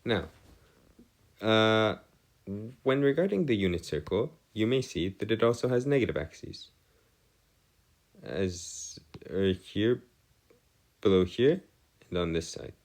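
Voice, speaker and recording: A man giving an improvised lesson as a tutor, speaking in formal, bookish wording with a halting, hesitant delivery and frequent pauses. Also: fairly low pitch, very animated. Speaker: math tutor. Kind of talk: improvised lesson explanation on trigonometry.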